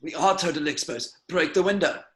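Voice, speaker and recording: A man's voice: fairly high pitch, brisk, natural voice. A man reading the part of a whiny, sceptical, sarcastic survivor in an amateur comedy table read. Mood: tense, insistent.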